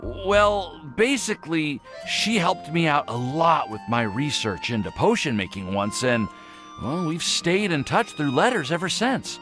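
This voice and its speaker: A man's voice: fairly high pitch, expressive, a little slow. A man playing an earnest, flustered young alchemist in a video game, hesitant but formal in his wording. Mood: sheepish. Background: faint game music.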